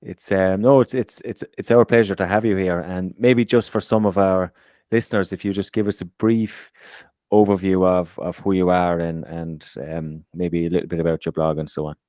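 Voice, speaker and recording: A man's voice: sits low, steady tone, normal speed, darkish tone, dry recording, clean studio sound. A man co-hosting a podcast in a casual chatty way, often repeating words as he talks. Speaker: podcast co-host. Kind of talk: casual chat.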